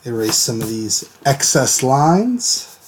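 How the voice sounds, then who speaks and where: A man hosting a live drawing stream, a little hoarse from a cold, chatting casually and greeting viewers by name. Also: medium pitch, big pitch swings, slow pace, slight background noise. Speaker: art stream host. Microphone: desk mic, sometimes turned away from it.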